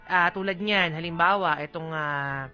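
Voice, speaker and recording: A woman's voice: fairly low pitch, unhurried, lo-fi sound, soft background music. A woman with a Filipino accent co-hosting radio in playful, teasing, casual banter. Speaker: radio DJ and co-host.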